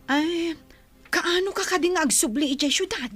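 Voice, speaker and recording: A woman's voice: very high voice, normal speed, fairly bright tone, soft background music. A woman with an Ilocano accent playing a defiant, selfish young wife who whines and argues in a radio drama. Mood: pleading, scheming. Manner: whiny, argumentative.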